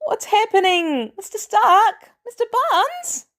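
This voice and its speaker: Woman voicing an excitable, high-pitched teenage superhero boy. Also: slow, exaggerated voice. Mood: bewildered.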